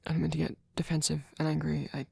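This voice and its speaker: A man playing a tender, fretful vampire boyfriend in an ASMR roleplay, speaking softly and hesitantly, often close to a whisper. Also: fairly high pitch, no echo, full character voice. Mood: defensive, earnest. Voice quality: breathy, often near whisper. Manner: soft-spoken, hesitant, stammering, tender. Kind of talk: ASMR roleplay audio drama.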